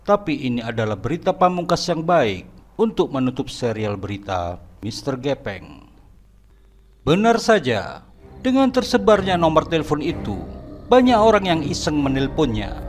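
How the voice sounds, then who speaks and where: A man narrating a horror cartoon in a scripted, dramatic storytelling manner. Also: on the high side. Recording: narration over background music.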